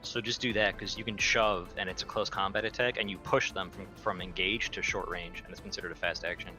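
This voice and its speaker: A man's voice: deep voice, steady tone, a little fast. A man playing a tabletop roleplaying game, talking in a blunt, informal way. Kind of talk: casual table talk. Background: faint background music.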